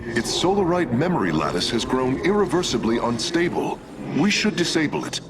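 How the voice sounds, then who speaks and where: A man's voice: mid-range voice, very animated, normal speed, noisy background, lightly acted. An actor playing an analytical, precise male alien engineer in a video game, speaking formally. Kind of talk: game cutscene voice acting.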